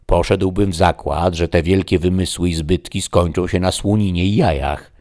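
A man playing a blunt, loud peasant squire, Sancho. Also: low-pitched, steady tone, normal speed, lightly acted. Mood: exasperated. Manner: loud, exclaiming, emphatic. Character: blunt, hungry peasant squire, hearty and impatient.

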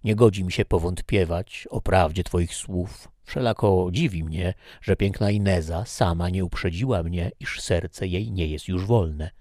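A man's voice: low-pitched, unhurried, hardly any pauses, warm tone, no room sound, studio quality. A man voicing a proud, jealous nobleman who speaks haughtily and insistently. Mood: faint.

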